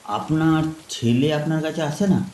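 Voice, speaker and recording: A man's voice: fairly high pitch, a little slow, mellow, pitched above the performer's own voice, close to an everyday voice. Man playing a polite young traveller, echoey. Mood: curious. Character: polite, reluctant younger male traveller sheltering from rain. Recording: echoey, faint rain noise.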